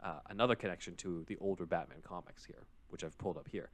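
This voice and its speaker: A man's voice: low voice, quick pace. A man co-hosting a podcast, explaining things in an informal, conversational way as he summarizes a script. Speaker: podcast co-host.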